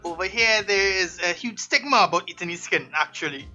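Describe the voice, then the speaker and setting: A man's voice: high-pitched, expressive, brisk, pushed and bright, muffled recording, soft background music. A young man from Trinidad, co-hosting a casual podcast chat with swearing and easy laughter. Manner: laid-back, swearing, laughs often. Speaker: podcast co-host.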